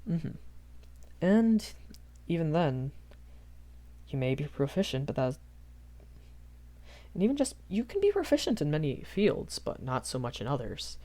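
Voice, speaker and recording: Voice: mid-range voice, very animated, measured pace, pauses often, dark tone. A performer voicing a shy, earnest young magic academy professor in a rambling audio roleplay, hesitant and often self-correcting. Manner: hesitant, self-correcting, apologetic, nervous chuckles. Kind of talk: improvised character audio roleplay, rambling lecture.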